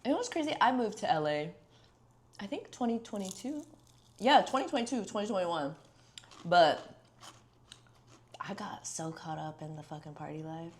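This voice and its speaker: Low-voiced young woman hosting casually. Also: animated, moderate pace, long pauses, a little hiss or hum. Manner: candid, swears freely, heavy filler words. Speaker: podcast host. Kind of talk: casual podcast chat.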